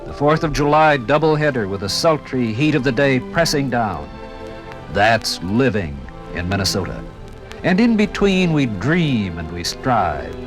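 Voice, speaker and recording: A man's voice: mid-range voice, very animated, a little slow, low-quality recording. A man giving a lyrical, dramatic narration in the style of a 1950s radio documentary. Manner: lyrical, rhetorical, dramatic phrasing. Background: music.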